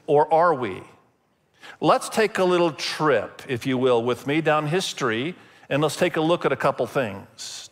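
A man who grew up in Indonesia, preaching a sermon as pastor in a conversational storytelling way, with emphatic pauses. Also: a little high, fairly bright tone. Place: church sanctuary. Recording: long hall reverb.

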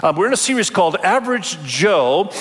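A middle-aged male pastor raised in Indonesia, preaching a Sunday sermon in a relaxed, conversational way with many fillers and restarts. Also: high voice, a little fast. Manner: warm conversational delivery with frequent fillers and restarts. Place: large church auditorium. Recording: long church reverb.

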